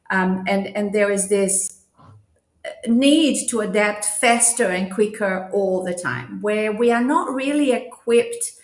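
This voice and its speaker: A woman from Croatia talks about her career as a change specialist, thinking aloud at an unhurried pace. Her voice sits in the middle of the range, and the video call picks up a roomy echo around her. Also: mid-range voice. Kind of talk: interview over video call.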